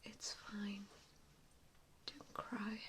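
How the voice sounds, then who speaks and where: A woman performing a softly spoken ASMR roleplay as a gentle, reassuring vampire mentor, with a soothing, hesitant manner. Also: medium pitch, little pitch movement, very slow, slight background noise.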